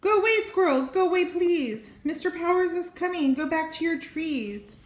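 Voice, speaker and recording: Woman reading as a flustered, high-pitched storybook mayor. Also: animated, normal speed. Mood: pleading. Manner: pleading, flustered. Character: worried mayor pleading with squirrels to leave. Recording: roomy echo.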